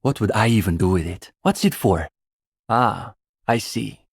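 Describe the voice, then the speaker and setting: An earnest young student intellectual for a video game. His voice sits a little low with some huskiness, recorded close and dry. He lectures in a bookish, opinionated way, smugly sure of his doctrine. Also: lively intonation, unhurried, gravelly, slightly rough, no echo, heavily acted. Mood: earnest, explanatory. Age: young adult. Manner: intellectual, opinionated, dryly pedantic. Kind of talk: video game dialogue voice acting.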